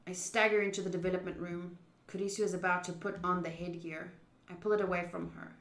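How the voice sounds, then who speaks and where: A woman streaming a visual novel playthrough, reading the narration aloud in an expressive, dramatic way with excitable interjections. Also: on the low side, fast talker. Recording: roomy echo.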